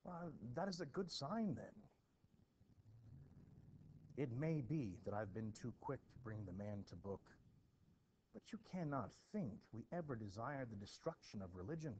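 A man voicing an earnest, formal minister from colonial times, measured and questioning, in a play reading. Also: mid-range voice, big pitch swings, darkish tone, natural voice. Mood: probing. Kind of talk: expressive classroom play reading. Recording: dull, noisy home recording.

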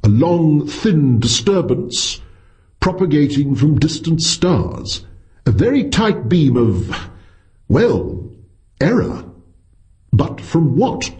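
A man's voice: fairly high pitch, big pitch swings, slow pace, long pauses, darkish tone, voice pushed up. A man voicing a polite, weary senior astronomer with a hesitant, donnish manner, in an audiobook story. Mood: hesitant, tired.

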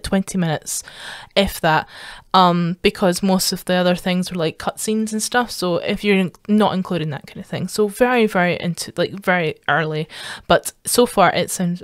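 A woman's voice: mid-range voice, no echo, clean studio sound. A young woman with an Edinburgh accent chatting casually to her viewers, rambling with lots of fillers and restarts. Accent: Edinburgh Scottish. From Scotland. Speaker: streamer.

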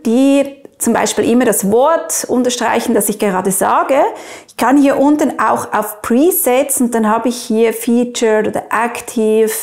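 A woman in her forties with a Swiss accent presents a how-to tutorial. Her voice sits fairly high, and she speaks straight to the viewer, taking each step clearly in turn. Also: darkish tone. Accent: Swiss German. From Switzerland. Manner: clear, instructional, addresses the viewer directly.

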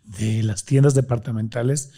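A man's voice: medium pitch, fairly even intonation, mellow. A man in his thirties with a Mexican accent, a guest speaking passionately in a studio interview with a desk microphone. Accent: Mexican Spanish. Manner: passionate, gestures a lot, lists things rapidly. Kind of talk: animated interview conversation. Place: studio with blue-lit curtains. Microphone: studio mic on a desk stand.

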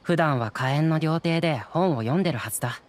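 A man's voice: on the high side, brisk, close to an everyday voice. An actor playing a chatty, modest, helpful townsman in a Japanese anime game, talking in a casual, informative way.

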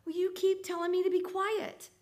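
A woman's voice: extremely high pitch, level tone, normal speed, a little mellow. A woman playing a scatterbrained, mischievous studio musician in scripted radio comedy banter. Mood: innocent, confused. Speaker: show's musician.

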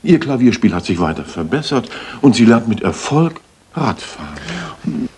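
An actor playing a shrewd, self-assured Victorian master detective in a radio play, speaking in a probing, precise way. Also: male voice, medium pitch, big pitch swings, measured pace, a little mellow, a little hiss or hum. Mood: pleased.

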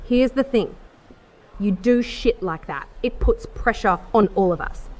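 A woman playing a stern, threatening crew member in a sci-fi audio drama, with a measured delivery. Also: fairly high pitch, a little mellow, low-quality recording. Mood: cool, stern.

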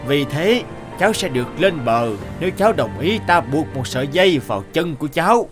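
A man voicing a kindly, protective grandfatherly fish in a cartoon fairy tale, slow and soothing. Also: fairly high pitch, lively intonation, reverberant, music in the background, lightly acted. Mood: kindly, cautious.

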